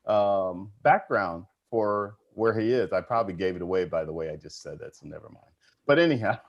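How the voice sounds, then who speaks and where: A man hosting a panel program, conversationally introducing guests and putting questions to them. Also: on the low side. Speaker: host of an aviation panel program.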